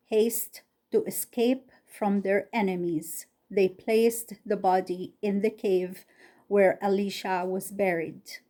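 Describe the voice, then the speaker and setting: A woman for whom English is a second language, reading a Bible story aloud with careful, halting phrasing and pauses between phrases. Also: mid-range voice, dark tone. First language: not English.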